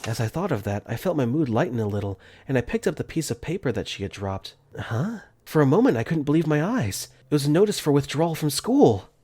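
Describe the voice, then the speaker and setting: A young man voicing an earnest, caring dorm caretaker for a fan dub, gently narrating his own thoughts in first person. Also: fairly high pitch, big pitch swings, fairly quick, few pauses, breathy edge. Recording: faint music under the voice.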